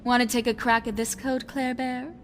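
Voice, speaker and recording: Woman acting as a posh vain rich girl, high voice, teasing n haughty, audio drama, slight noise. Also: little pitch movement, moderate pace, lightly acted. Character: posh, vain, fashion-loving young socialite woman. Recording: faint background noise.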